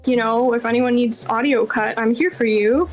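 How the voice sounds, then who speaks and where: A woman's voice: fairly high pitch, steady tone, normal speed, faint music behind. A woman being interviewed as a game developer, talking casually and informally in a chatty, self-deprecating way. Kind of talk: casual Q&A chat.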